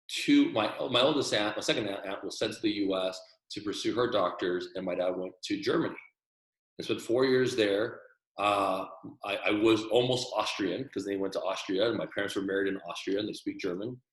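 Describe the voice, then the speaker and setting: A man's voice: fairly low pitch, moderate pace, a bit bright, roomy sound, rough recording. A man born in Taiwan, a podcast guest, telling his story earnestly in a casual interview and often repeating words as he starts sentences.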